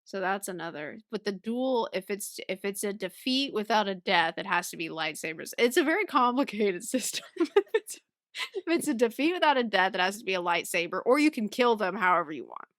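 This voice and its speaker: A woman's voice: medium pitch, expressive, fairly quick, a bit bright, no room sound, studio quality. A woman co-hosting a podcast in a casual, chatty way, often laughing through her words. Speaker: podcast co-host. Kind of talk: casual book-club chat.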